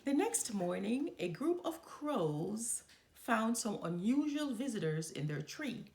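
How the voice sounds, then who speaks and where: A woman reading a children's story aloud as a storyteller, with expressive, deliberate delivery. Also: on the high side. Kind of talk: expressive read-aloud narration.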